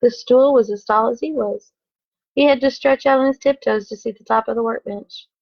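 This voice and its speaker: A woman teacher reading a children's story aloud on a phone livestream, expressive, with asides to the viewers. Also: a little high, normal speed, creaky, dry recording, muffled recording.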